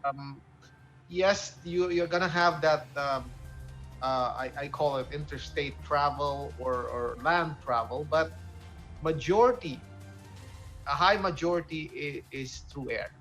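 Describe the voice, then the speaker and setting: A man hosting a current affairs discussion, speaking haltingly and repeating words as he goes. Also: on the high side, fairly bright tone, poor audio. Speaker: talk show host. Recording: music bed behind the voice. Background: music.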